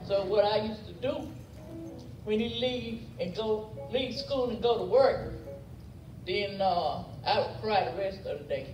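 An older man speaks in a high voice, giving a plain-spoken tribute that circles back over its points. The sound is roomy and distant, with music clearly behind him. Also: a little slow, muffled recording. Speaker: mourner giving a family tribute. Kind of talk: informal funeral remembrance. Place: large church sanctuary, indoors. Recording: echoey church from far off, music behind.

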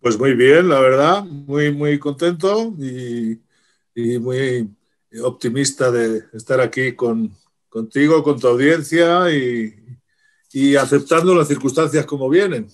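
A man's voice: medium pitch, slow pace. Middle-aged madrid-accent guy on a video call, mid voice, upbeat, keeps restarting words. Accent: Madrid Spanish. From Spain. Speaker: guest, psychologist and coach. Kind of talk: casual interview answers. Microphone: video call webcam.

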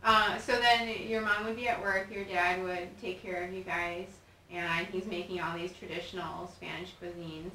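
A woman's voice: on the low side, steady tone, sharp tone. A young woman hosting a casual TV interview in a studio, chatty and playful, Polish her first language. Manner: chatty, playful, quick to laugh. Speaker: talk show host. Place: TV studio set. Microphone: desk mic from a distance. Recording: roomy studio sound, mic at a distance, faint hiss.

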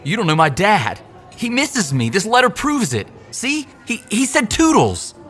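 A man plays an entitled young frat boy in an audio drama. He is defensive and not very bright, whining his protests with a very lively, varied pitch. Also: a little slow, faint music behind, some chatter behind, exaggerated voice. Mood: insistent. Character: defensive, entitled, dim young son of a president.

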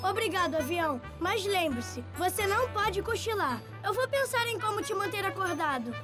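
A woman's voice: very high voice, big pitch swings, moderate pace, over loud music. A woman voicing a cheerful, caring little boy with a light, childlike voice and a Brazilian accent, in a preschool cartoon. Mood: eager.

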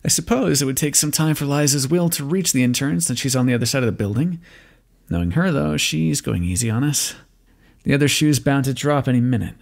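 Male actor as weary, brusque scientist captor for audio roleplay; gravelly, dry delivery with a swinging pitch. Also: animated, fairly quick. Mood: terse, watchful. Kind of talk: ASMR roleplay monologue, intimate acting. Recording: long reverberant echo.

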